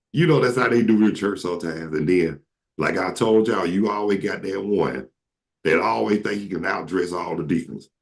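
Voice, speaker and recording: A man with a voice that sits very low. It has a rough, gravelly edge. He tells stories in a relaxed, joking way, as the host of a casual chat. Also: moderate pace, pauses often. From Atlanta, Georgia. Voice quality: very deep voice. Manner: joking, slips into comic impressions. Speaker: show host.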